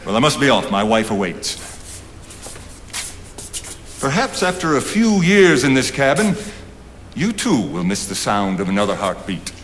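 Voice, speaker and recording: Man playing expressive, courtly literary gentleman, reverberant stage. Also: medium pitch, measured pace, long pauses. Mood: gently admonishing. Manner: formal, literary phrasing, expressive. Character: learned, courtly married man, Thoreau's fond, teasing older friend. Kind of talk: theatrical period stage dialogue. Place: on stage in a theatre. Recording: noisy stage recording in reverberant theatre.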